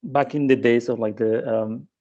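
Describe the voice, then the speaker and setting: Hesitant man with a mellow voice. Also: medium pitch, fairly even intonation, normal speed, highs cut off. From Spain. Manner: hesitant, many fillers and restarts. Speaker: interview guest. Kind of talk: casual interview.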